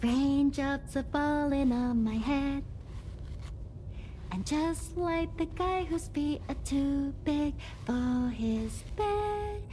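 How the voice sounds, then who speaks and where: An actress playing a gentle woman in a video game, singing softly with tender, soothing murmured asides. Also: high voice, echoey room, a little hiss or hum.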